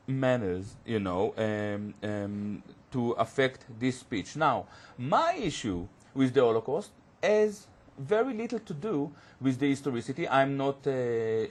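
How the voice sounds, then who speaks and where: A man whose first language is Hebrew, a guest on a studio panel discussion, who talks haltingly and searches for words with many fillers. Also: medium pitch, very animated. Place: community TV studio.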